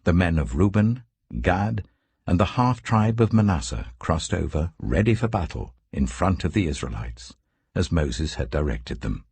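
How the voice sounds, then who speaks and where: A man reading scripture as a scripted narration, in a solemn, measured storytelling manner with deliberate phrasing. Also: sits low, big pitch swings, darkish tone, slightly rough, no room sound. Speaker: Bible narrator.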